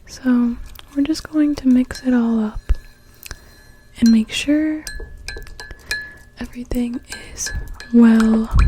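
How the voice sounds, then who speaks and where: A young woman playing a gentle, welcoming witch in a soft, soothing whispered ASMR roleplay. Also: a little high. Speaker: ASMR performer.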